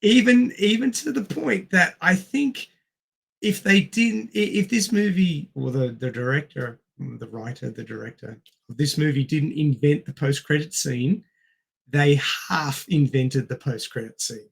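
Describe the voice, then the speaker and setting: A man talks through a film in a casual review style. His fairly high voice has a lot of gravelly creak and sounds strained. He goes haltingly, thinking aloud as he speaks. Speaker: film podcast host. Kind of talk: casual movie review chat.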